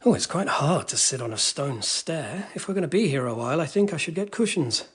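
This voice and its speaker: Kindly, husky English boy. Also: a little high, lively intonation. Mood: gentle, coaxing. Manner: gentle, coaxing, halting. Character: kind, gentle, coaxing boy cousin of good family. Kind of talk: period radio drama acting.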